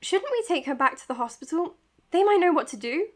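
A woman's voice: very high voice, big pitch swings, a little fast. An actress playing a caring, tired woman doctor in a naturalistic audio drama, speaking earnestly and hesitantly. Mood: anxious. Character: anxious, caring, tired young female doctor. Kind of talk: naturalistic audio drama, confessional podcast narration.

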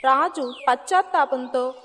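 A woman's voice: high voice, a little slow, a bit bright. A woman giving scripted narration of a moral story as its narrator. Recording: long hall-like reverb, music behind.

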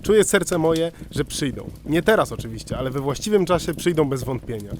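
A man plays a clownish devil's retainer in a radio play. He is florid, flattering and long-winded, in a somewhat high voice. A little noise sits on the recording. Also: fairly quick, lightly acted. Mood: confiding. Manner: florid, fawning, theatrical. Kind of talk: amateur radio drama acting.

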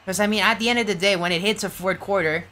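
A tired young man doing casual, rambling play-by-play commentary for an online game league, getting excited on big plays. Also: high voice, fast, a little hiss or hum.

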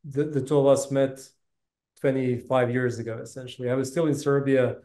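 A man's voice: mid-range voice, little pitch movement, fairly quick, dark tone. Balkan-accented man in a roomy space. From Bosnia. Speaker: interview guest, tech business executive. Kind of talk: casual interview talk, storytelling. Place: indoor room, video call. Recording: roomy, reverberant video call audio.

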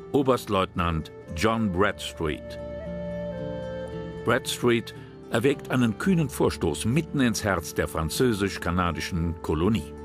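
A man narrating a history documentary from a script. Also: deep voice. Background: music.

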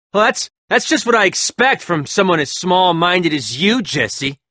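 A cocky, sneering young gang leader taunts his rivals with mocking laughs in a game. His voice swings widely in pitch and has a bright, sharp edge. The studio recording is dry and close. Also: sing-song, unhurried, no echo, full character voice. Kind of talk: video game voice acting.

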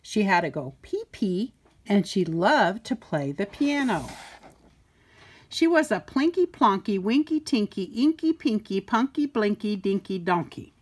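An older woman reading a children's picture book aloud in a playful, sing-song way with deliberate emphasis. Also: mid-range voice, very animated, breathy edge. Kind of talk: storybook read-aloud.

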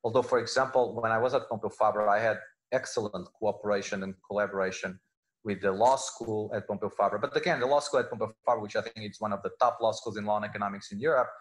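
A man's voice: on the low side, fairly even intonation, quick pace. Middle-aged man with a Portuguese accent. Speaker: law professor, interview guest. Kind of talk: conversational interview answers. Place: indoor room, video call. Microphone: wired earphones on a video call.

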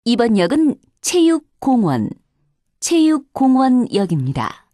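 A woman's voice: fairly high pitch, big pitch swings, measured pace, mellow, no room sound, studio quality. A woman reading formal scripted subway announcements in a polite, carefully enunciated way.

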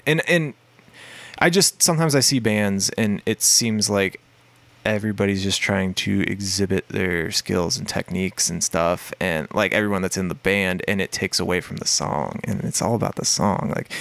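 A man with a deep voice, a musician guest in a casual podcast interview, talking in a rambling way with many fillers and restarts. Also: normal speed, creaky, a bit bright. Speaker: guest musician, singer and guitarist.

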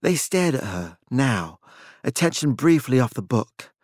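Guy reading a dark story audiobook style, very dry close sound. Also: mid-range voice, big pitch swings, a little slow, dry recording. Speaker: audiobook narrator. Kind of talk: scripted dramatic fiction narration.

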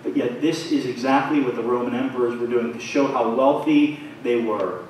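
A man in his thirties preaching a sermon in a small church, his phrases rising and falling in a measured way. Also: medium pitch, darkish tone. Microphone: far camera, pulpit mic. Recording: very echoey church from far off, faint noise.

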